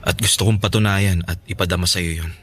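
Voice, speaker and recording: A man's voice: deep voice, steady tone, a little fast, a bit bright, faint music behind. A man playing a sulky, lovelorn young man in a radio drama, earnest and with a Filipino accent. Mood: earnest confession.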